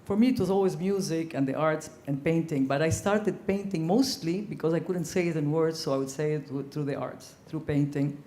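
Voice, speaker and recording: An older woman from Ecuador speaking on a conference panel, telling her story earnestly with frequent pauses and ums. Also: deep voice. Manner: earnest, reflective, frequent ums, growing animated. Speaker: panelist, former ambassador. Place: conference hall. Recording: echoey conference hall.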